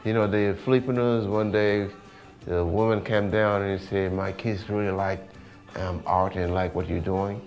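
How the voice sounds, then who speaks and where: A man's voice: sits low, level tone, normal speed, darkish tone, faint music behind. A man with a Senegalese accent talking informally in an interview as an artist, often repeating words and phrases. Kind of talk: casual interview talk.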